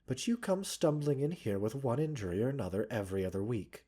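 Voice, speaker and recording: A man playing a gentle, caring doctor in a roleplay ASMR, speaking softly and breathily with measured, polite, gently chiding formality. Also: medium pitch, sing-song. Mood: concerned, chiding. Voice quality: soft, breathy. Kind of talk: roleplay ASMR, scripted character acting.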